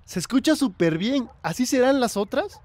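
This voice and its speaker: An actress playing a keen, inquisitive young friend in a radio drama, eager in manner. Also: female voice, a little high, expressive, moderate pace, a little mellow, dry recording. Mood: curious.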